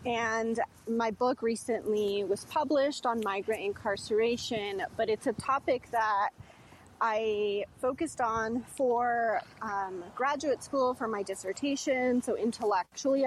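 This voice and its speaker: A woman with a fairly high voice gives measured interview answers as an academic radio guest. She is outdoors, with faint background noise around her. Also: poor audio. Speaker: historian, professor as radio guest. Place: outdoors, outside a detention center.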